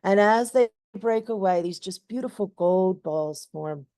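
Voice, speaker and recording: A woman leads a guided meditation in gentle, lulling phrases. Her voice is warm and mellow at a middle pitch, and she speaks very slowly. The sound is close and dry, with no room in it. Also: no echo.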